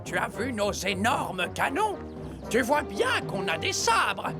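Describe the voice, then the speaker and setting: A woman's voice: fairly high pitch, sing-song, slow pace. A woman voicing a small, mischievous villain critter who bosses a pirate crew in a cartoon dub. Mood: scheming. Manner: bossy, giving crew orders. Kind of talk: French cartoon dubbing. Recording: music under the voice.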